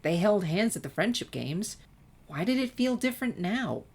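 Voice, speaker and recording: A woman's voice: mid-range voice, big pitch swings, moderate pace, darkish tone, husky. A woman narrating fan fiction as a scripted audiobook-style story reading. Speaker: story reader.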